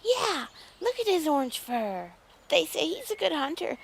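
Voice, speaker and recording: A woman voicing an excited little kitten with a squeaky, awed manner. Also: normal speed, gravelly, a little hiss or hum, full character voice. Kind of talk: fan audiobook voice acting.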